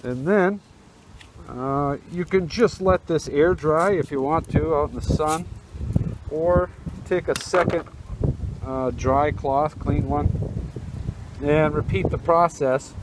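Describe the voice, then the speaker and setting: Higher-voiced man, noisy outdoor recording. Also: takes it slowly, long pauses. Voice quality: speaking through a face mask. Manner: informal, easygoing step-by-step talk. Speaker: host demonstrating a how-to restoration. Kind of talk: casual hands-on tutorial. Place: outdoors on a windy rooftop. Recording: noisy outdoor camera audio.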